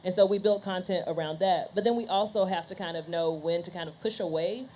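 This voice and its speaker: A woman's voice: on the low side, a little fast, a little mellow, a little hiss or hum. A woman speaking conversationally as a panelist in a discussion. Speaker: panelist, podcast host and scientist.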